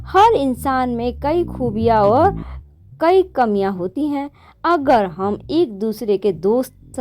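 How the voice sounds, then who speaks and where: A woman's voice: a little high, dark tone. A woman hosting and narrating a moral story in a conversational way, correcting herself as she goes. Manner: conversational, with restarts and self-corrections. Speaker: storyteller host.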